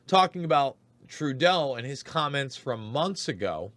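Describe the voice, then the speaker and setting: A hoarse man with a raspy voice, hosting a show of emphatic political commentary. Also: mid-range voice, sing-song, measured pace, fairly bright tone, no room sound. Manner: emphatic, ranting delivery. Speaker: host.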